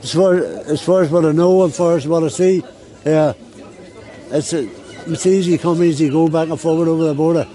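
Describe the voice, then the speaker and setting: A man's voice: a little high, steady tone, normal speed, warm tone, some chatter behind. A man with a Northern Irish accent, seated on a city street and talking plainly in an interview, often repeating himself. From Belfast, Northern Ireland. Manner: plain-spoken, repeats words. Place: busy city street outdoors.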